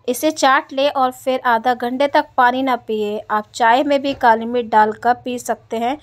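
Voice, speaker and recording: A woman narrating home remedy tips over text slides in a plain, steady reading voice. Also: fairly high pitch.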